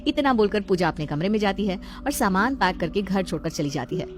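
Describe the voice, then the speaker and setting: A woman's voice: medium pitch, lively intonation, a little fast, background noise. A woman storyteller reading a scripted moral tale as narrator.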